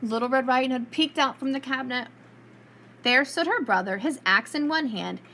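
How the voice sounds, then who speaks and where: Woman, preschool storyteller, high pitch, expressive read-aloud narration, noticeable background noise. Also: normal speed.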